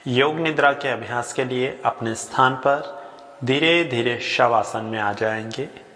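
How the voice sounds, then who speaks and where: Male meditation instructor: gentle, very slow delivery of short spaced-out instructions, voice carried in a long reverberant tail. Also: medium pitch. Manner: unhurried, gentle, short phrases with long pauses. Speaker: yoga nidra guide. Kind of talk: guided sleep meditation, slow spoken instructions.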